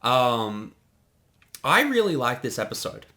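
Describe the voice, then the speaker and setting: Bright-voiced man chatting in commentary. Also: medium pitch, very animated, measured pace. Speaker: director giving creator commentary. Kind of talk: casual commentary chat.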